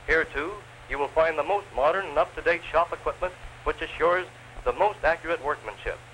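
Bright-voiced 1930s newsreel ad man, forceful. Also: on the high side, brisk. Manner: declamatory salesman delivery. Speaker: promotional film narrator. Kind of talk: 1930s newsreel advertising narration. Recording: noisy 1930s film soundtrack.

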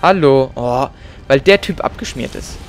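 A man's voice: mid-range voice, lively intonation, unhurried, soft background music. A young man casually commenting on his own gameplay, chatty and joking. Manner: chatty, joking, mixes in playful English. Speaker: let's play gamer.

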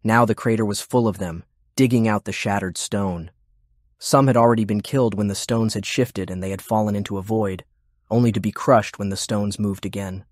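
A man's voice: fairly low pitch, fairly even intonation, normal speed, darkish tone, no room sound, studio quality. A man reading scripted, dramatic narration as an audiobook narrator.

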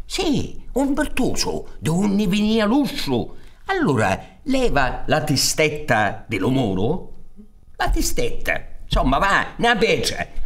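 Casting: male storyteller in his sixties, Sicilian accent, higher-set voice, very slow, extremely animated folk-tale delivery. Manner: expressive, drawn-out, with repetitions for emphasis. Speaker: storyteller narrator. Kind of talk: theatrical oral storytelling of folk tales. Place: theatre stage set up for radio recording. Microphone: large studio condenser on stand.

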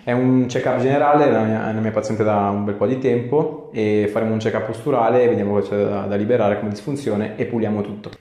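A man's voice: lowish voice, fairly even intonation, fast talker, few pauses, warm tone. A man, a chiropractor giving short instructions and questions during an exam. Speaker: chiropractor and video host. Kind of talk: clinical exam talk with patient. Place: small tiled clinic treatment room. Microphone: lapel clip-on. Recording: echoey small room.

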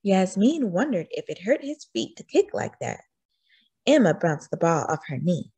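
A woman reading a children's storybook aloud in an expressive, acted-out way. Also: mid-range voice, moderate pace, breathy edge. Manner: expressive, acts out dialogue. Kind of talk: animated scripted storybook narration.

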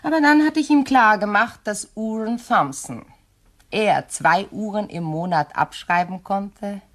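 An actress playing a composed, shrewd widow in a German crime radio play, speaking in a measured, courteous and pointed way. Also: female voice, mid-range voice, fairly bright tone. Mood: cool, veiled threat.

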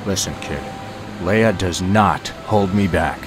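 An actor playing a gruff, sardonic smuggler turned rebel captain, casual, wry and blunt, in a fan film. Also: male voice, deep voice, takes it slowly, noisy background. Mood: sardonic, irritated. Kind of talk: fan film dramatic acting.

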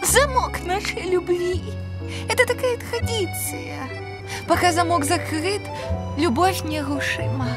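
An earnest, emotional young pig bride from a cartoon. The voice is extremely high and drawn out, pleading and exclamatory. Loud music plays behind it. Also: female voice, a little slow. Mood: tearful, wistful. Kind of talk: cartoon voice acting.

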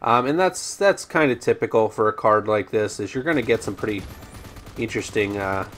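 A man commentating over his own gameplay, chatty and rambling with ums and restarts, his voice slightly raspy today. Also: fairly low pitch, a little fast, a bit bright, a little hiss or hum. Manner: rambling, frequent ums and restarts, addresses viewers as guys. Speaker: gaming YouTuber.

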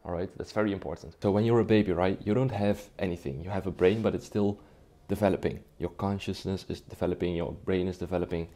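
Dutch man, low mellow explaining voice. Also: fairly even intonation, quick pace. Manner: conversational, checks in with "right?" and "okay?". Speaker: language-learning YouTuber. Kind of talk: explaining at a whiteboard to camera. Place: small dim room indoors.

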